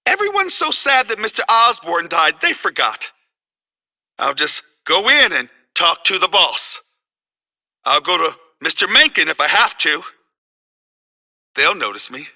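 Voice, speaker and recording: Fretful, bright-voiced insecure engineer. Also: male voice, fairly high pitch, big pitch swings, unhurried, pauses often, exaggerated voice. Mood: needy, resolute. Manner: fretful, self-soothing muttering. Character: naive, insecure male engineer, overlooked and desperate for notice.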